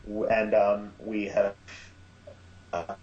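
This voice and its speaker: A man being interviewed as producer and director of an audio drama, talking in a halting way with many fillers and restarts. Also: fairly low pitch, steady tone, darkish tone. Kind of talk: casual podcast interview. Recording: echoey, dull lo-fi sound.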